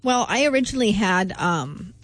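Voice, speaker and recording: A woman's voice: mid-range voice, lively intonation, moderate pace, no room sound, soft background music. A woman co-hosting a morning radio show, trading casual banter and skeptically questioning everything. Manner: skeptical, questioning, laughs easily. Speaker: radio co-host. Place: radio studio.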